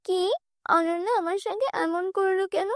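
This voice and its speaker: A woman's voice: very high voice, steady tone, normal speed, dry recording, studio quality. A woman doing an earnest, kind young heroine. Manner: earnest, soft-spoken.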